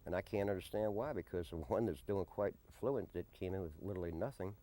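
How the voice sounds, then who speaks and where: A man being interviewed in a conversational documentary, speaking deliberately and often repeating a question back before answering. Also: sits low, warm tone. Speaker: interviewee, desert camp resident and odd-job handyman. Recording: noisy early-90s amateur documentary tape.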